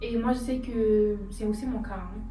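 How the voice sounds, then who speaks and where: A woman's voice: on the high side, steady tone, moderate pace, recorded from a distance. A woman whose first language is Bambara, chatting casually and encouraging viewers, with many hesitant fillers. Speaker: guest content creator. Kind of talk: casual chat. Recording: echoey room, off-mic, music behind.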